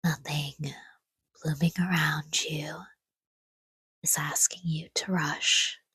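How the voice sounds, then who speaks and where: A woman speaks in a very breathy, whispery voice, low in pitch and extremely unhurried. She guides a sleep meditation gently and soothingly, leaving long pauses between short, hushed phrases. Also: flat delivery, no echo. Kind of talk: guided sleep meditation, scripted, soft-spoken.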